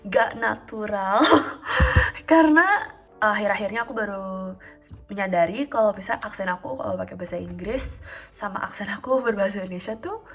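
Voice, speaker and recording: A woman of twenty-one talking casually in a home vlog, calm and chatty with frequent fillers. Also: medium pitch, normal speed, breathy edge. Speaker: vlogger.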